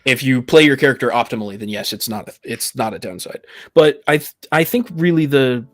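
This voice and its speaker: A man on a podcast panel in casual debate, reasoning in halting, repeated phrases. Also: medium pitch, dry recording.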